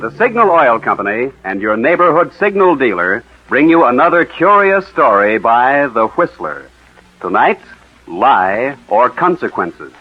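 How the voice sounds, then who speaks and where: A man's voice: medium pitch, sing-song, measured pace. An American man announcing for a sponsor on 1940s radio, with a polished, emphatic sales delivery. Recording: dull, muffled 1940s radio recording.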